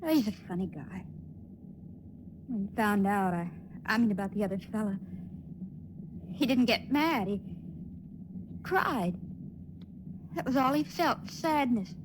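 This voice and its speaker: An actress playing a naive, frightened young woman stowaway in a 1950s radio drama, earnest, halting and emotional. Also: mid-range voice, close to an everyday voice. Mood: wistful. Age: young adult. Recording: noisy vintage radio recording.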